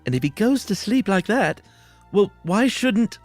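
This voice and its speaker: A man's voice: high-pitched, animated, unhurried, darkish tone, dry recording, faint music behind. A man voicing a nervous, uneasy man in a haunted house, earnest and dramatic, as part of a horror story reading. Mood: alarmed.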